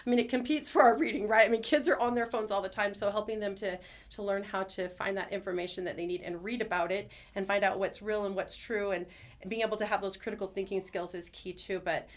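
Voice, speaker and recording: A middle-aged woman speaks as a podcast guest in a conversational way. She is earnest and enthusiastic, with a medium pitch and a rapid, chatty flow. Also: fairly even intonation. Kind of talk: conversational interview answers. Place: studio.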